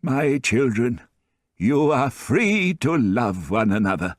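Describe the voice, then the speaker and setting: A doting old grandfather, slow and fond, his voice raised well above a low baritone, as a male narrator puts him on. He leans on his words with tender emphasis, drawn out and lively in pitch. The read is dry and close. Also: dry recording. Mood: gracious. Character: doting elderly grandfather, tearful and warm.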